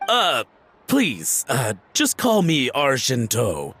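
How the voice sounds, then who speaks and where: A man's voice: mid-range voice, wildly swooping pitch, slow, fairly bright tone, slight background noise, heavily acted. An actor playing a nervous, timid young man in a video game, stammering and speaking with flustered formality. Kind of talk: video game cutscene dialogue.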